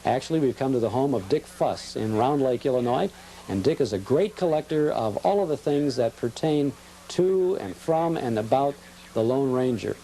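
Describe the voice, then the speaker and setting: Male show host, mellow and inviting, friendly intro and interviewer. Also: medium pitch, expressive, moderate pace, a little hiss or hum, poor audio.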